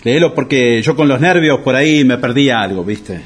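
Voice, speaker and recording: Husky, melodramatic Argentine sad sack Tito. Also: male voice, mid-range voice, lively intonation, moderate pace. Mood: indignant. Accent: Argentine Rioplatense. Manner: self-pitying, theatrical. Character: Tito, a melodramatic debt-ridden man pining for his ex-wife. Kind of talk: comic radio drama acting.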